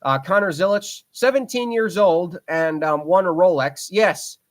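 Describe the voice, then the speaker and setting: A man's voice: sits high, measured pace. A man in his twenties hosting a casual solo podcast, rambling with lots of fillers and restarts and talking like an enthusiastic fan. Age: 20s. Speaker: podcast host.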